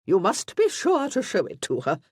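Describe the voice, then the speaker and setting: A woman's voice: mid-range voice, wildly swooping pitch, moderate pace, darkish tone, breathy edge, no echo, clean studio sound, pitched above the performer's own voice. A woman voicing a commanding older marchioness in an audiobook, an imperious aunt used to being obeyed.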